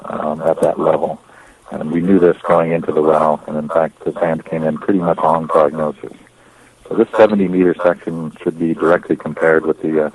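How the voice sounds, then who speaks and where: Very deep, monotone male executive. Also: slightly rough, rough recording. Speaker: oil company executive on an investor conference call. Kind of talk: semi-prepared technical briefing to investors.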